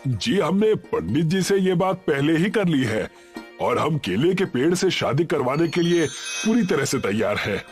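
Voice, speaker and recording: A man's voice: fairly high pitch, sing-song, measured pace, soft background music, pitched above the performer's own voice. A man voicing a nagging, middle-aged village father in a storybook tale, with a commanding way of talking. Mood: eager, agreeable. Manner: scolding, commanding. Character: nagging, exasperated village father of a grown daughter.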